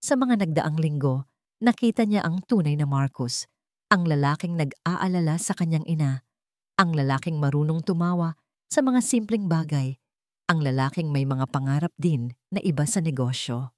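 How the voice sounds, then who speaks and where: A Filipino woman narrating a scripted romance story in a sentimental, measured way, in short dramatic phrases. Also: low-pitched, lively intonation, darkish tone, dry recording, clean studio sound.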